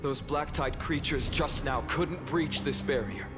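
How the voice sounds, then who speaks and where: A man's voice: fairly high pitch, unhurried, lightly acted. A man voicing an earnest young warrior hero in a video game, solemn, formal and burdened with duty. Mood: serious. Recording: game music behind the voice.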